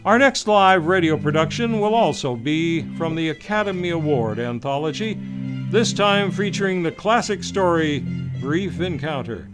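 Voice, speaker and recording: A man's voice: fairly high pitch, lively intonation, moderate pace, music in the background. A man hosting an old-time radio hour, reading scripted announcements in a formal, theatrical showman's delivery. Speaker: radio show host and announcer. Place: radio studio.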